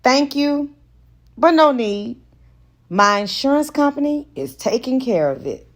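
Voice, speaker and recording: Smitten romantic man, slow and soft woman reading. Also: high voice, lively intonation, pitched above the performer's own voice. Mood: sincere.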